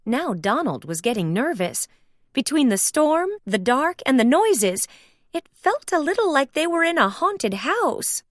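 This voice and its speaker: Slow, animated woman storybook narrator. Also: high voice, dry recording, clean studio sound. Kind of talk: expressive children's read-aloud narration.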